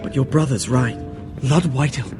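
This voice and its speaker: A man's voice: medium pitch, normal speed, lightly acted. Man playing an earnest, confiding young servant. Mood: secretive. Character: earnest young male servant and court informant. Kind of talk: dramatic video game acting. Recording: music behind the voice.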